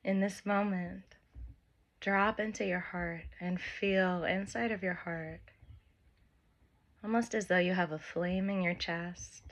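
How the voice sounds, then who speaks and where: Slow, even-toned woman guiding meditation. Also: on the low side. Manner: unhurried, gentle phrasing with long pauses. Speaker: meditation guide. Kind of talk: guided meditation, soft spoken instruction. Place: by the open air with wind and birds.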